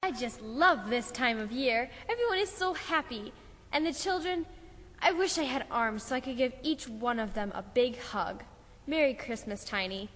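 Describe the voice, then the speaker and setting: A woman plays a talking Christmas tree with a gentle, kindly manner. The voice sits fairly high and reverberates through a hall, as in a children's puppet show. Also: lively intonation, normal speed.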